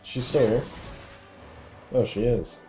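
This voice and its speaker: A man's voice: medium pitch, steady tone, takes it slowly, warm tone, roomy sound. A man giving casual game playthrough commentary, with offhand reactions and dialogue read aloud. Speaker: gaming content creator. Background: game music.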